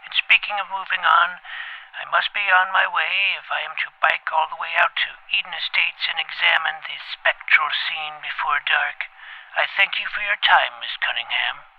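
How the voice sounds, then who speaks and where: A man playing a pompous, precocious twelve-year-old boy detective in an audio drama, formal and wordy, with a creaky voice. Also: on the high side, steady tone, moderate pace, no echo, lo-fi sound, natural voice. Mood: grave, self-important. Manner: formal, verbose, clipped interrogator's delivery. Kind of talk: scripted audio drama acting.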